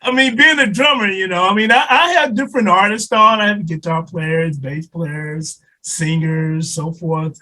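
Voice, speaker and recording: A man's voice: high voice, steady tone, normal speed, few pauses, pushed and bright, poor audio. A man hosting a casual interview podcast, laid-back and laughing often, talking loosely with plenty of fillers. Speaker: podcast host.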